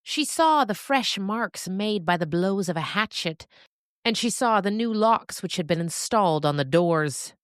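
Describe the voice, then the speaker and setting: A woman narrating a scripted story expressively, with dramatic pauses. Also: mid-range voice, animated, no echo, clean studio sound.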